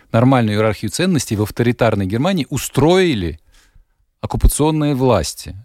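Low-voiced man, measured and formal. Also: a little mellow, no echo, clean studio sound. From Germany. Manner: measured, formal, with many hesitations. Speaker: media producer guest. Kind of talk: radio talk show discussion.

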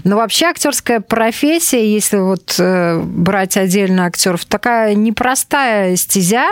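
A woman's voice: medium pitch, lively intonation, measured pace, dry recording. A woman hosting a conversational interview, speaking in reflective, thoughtful phrases. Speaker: host.

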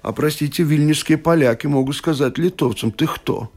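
A man's voice: a little high, moderate pace, a little mellow. Hesitant male political scientist on radio. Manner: hesitant, many fillers and restarts. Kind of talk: radio panel discussion.

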